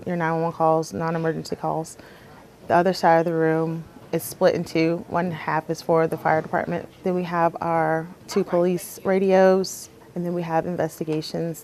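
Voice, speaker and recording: A woman's voice: on the low side, gravelly, a little mellow, slight background noise. A woman working as an emergency dispatcher, explaining her job in a plain-spoken, earnest way as if interviewed.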